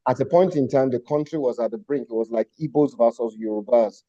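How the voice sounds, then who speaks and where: Male guest, very dark tone, measured and agreeable, dry audio. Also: medium pitch, no echo, dull audio. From Nigeria. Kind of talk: political discussion.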